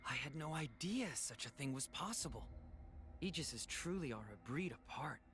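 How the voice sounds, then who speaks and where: A man's voice: medium pitch, lively intonation, normal speed, fairly bright tone, slight background noise, close to an everyday voice. A man playing an urbane, inquisitive villain in a video game, speaking formally and with theatrical interest. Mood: intrigued. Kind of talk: dramatic video game acting.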